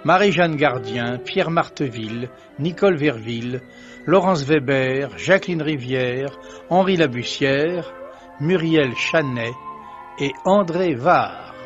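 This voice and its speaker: A man's voice: a little high, slow pace. A man reading end credits as a formal, scripted 1950s radio announcer. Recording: dull vintage radio recording. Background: music.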